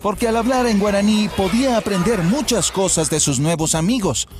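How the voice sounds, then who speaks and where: Male narrator for a children's animated tale: fairly high voice, lively delivery, music underneath. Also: normal speed. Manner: expressive, storytelling delivery with repeated refrains. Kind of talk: scripted storybook narration for a children's cartoon.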